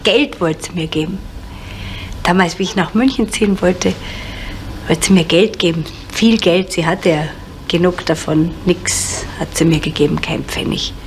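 An actress playing a bitter, desperate middle-aged woman in a crime radio drama, halting and repeating words, sometimes dropping into whispers. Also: on the low side, lightly acted. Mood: bitter confessing. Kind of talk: naturalistic German radio crime drama acting. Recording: noisy 1970s radio play recording.

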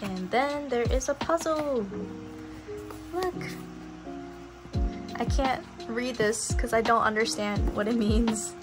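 A woman's voice: a little high, slow, pauses often. A young adult woman chatting casually as a vlogger, with a girlish, gushing way of talking. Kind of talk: casual lifestyle vlog chat. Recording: background music under vlog audio. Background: music.

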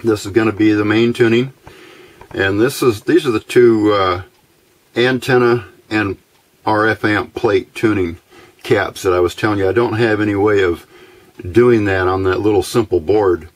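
A man giving a casual, unscripted demonstration at a workbench, halting and thinking aloud as he goes. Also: lowish voice. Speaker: hobbyist presenter. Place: indoors at a workbench.

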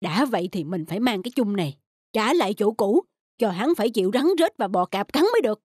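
Greedy, high-pitched cartoon schemer. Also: male voice, big pitch swings, quick pace, breathy edge, dry recording, clean studio sound, exaggerated voice. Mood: spiteful, scheming. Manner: scheming, gloating. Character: greedy, sneaky, spiteful scrawny male villain. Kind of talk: exaggerated animated folk tale acting. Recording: music behind the voice.

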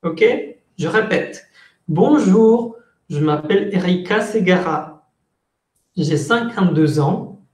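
A man's voice: high voice, expressive, slow pace, a little mellow. A young man, a Spanish speaker, teaching an online language class with careful enunciation and repetition for learners. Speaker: French teacher. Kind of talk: online language lesson, switching between French and Spanish. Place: indoor room, live online class. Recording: roomy, echoing indoor sound.

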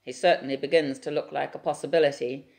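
Woman doing a policeman, brisk, official, reassuring, gravelly tone. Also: sits low, steady tone, darkish tone.

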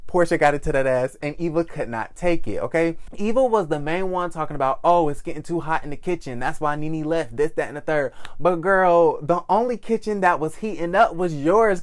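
Young man, host, sassy, animated, gossipy, slightly high-pitched, small indoor room. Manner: sassy, animated, lots of "okay?" asides. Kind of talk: celebrity gossip vlog.

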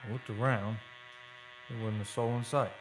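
An actor playing a haunted oil driller in a radio drama, telling his story in a folksy, halting, suspenseful way. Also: mid-range voice, steady tone, lightly acted, rough recording. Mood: uneasy. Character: haunted male oil driller recounting a terror. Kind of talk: live old-time radio drama monologue. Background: live dramatic music.

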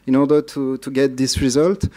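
Hesitant man with a mellow voice. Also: medium pitch, fairly even intonation, moderate pace. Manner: hesitant, many uh fillers and restarts. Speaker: conference speaker. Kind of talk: conference Q&A answers. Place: large dark conference hall.

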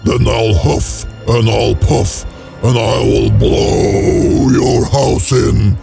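A man voicing the big bad wolf in a cartoon, a hungry, sly male predator with a gravelly, menacing laugh. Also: medium pitch, steady tone, drawn-out words, over loud music, exaggerated voice. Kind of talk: cartoon voice acting.

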